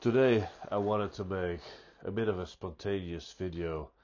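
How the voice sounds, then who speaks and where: A man with a stuffy nose giving an unscripted, reflective monologue, speaking deliberately and often restarting his sentences. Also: low-pitched, darkish tone, highs cut off, a little hiss or hum. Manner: slow, deliberate, thoughtful, with frequent restarts. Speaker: pipe-smoking vlogger.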